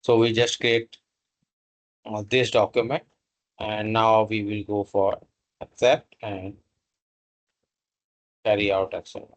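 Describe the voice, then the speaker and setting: Slow-talking man giving a software tutorial. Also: fairly low pitch, flat delivery, muffled recording. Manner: halting, instructional, pausing between short phrases. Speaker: software instructor. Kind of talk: step-by-step screen tutorial narration.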